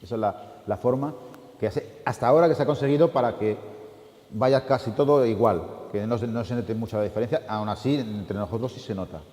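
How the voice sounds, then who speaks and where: A man's voice: medium pitch, brisk, mellow. Man explaining in an echoey hall. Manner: loose, restarting explanations. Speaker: animator on a panel. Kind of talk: answering audience questions. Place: art school event hall.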